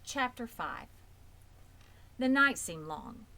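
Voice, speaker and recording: A woman's voice: fairly low pitch, big pitch swings, slow pace, vocal fry, pushed and bright. A woman reading scripted narration from a children's book as a storybook reader. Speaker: audiobook reader.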